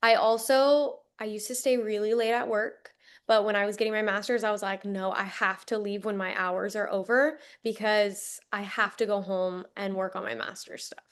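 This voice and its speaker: Smooth-voiced woman hosting a training. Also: mid-range voice, steady tone, moderate pace. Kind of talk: casual webinar talk.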